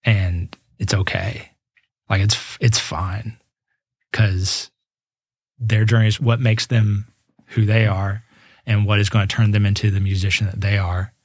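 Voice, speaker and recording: A man's voice: on the low side, little pitch movement, unhurried, dry recording, studio quality. A man in his twenties, a musician guest in a casual interview, talking earnestly and reflectively with frequent restarts. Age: 20s.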